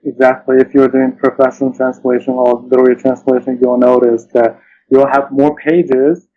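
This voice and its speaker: Halting, thoughtful man, dull lo-fi recording. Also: medium pitch, little pitch movement, mellow. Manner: halting, thoughtful, often says "you know". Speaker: interview guest, literary translator. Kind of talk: reflective interview conversation.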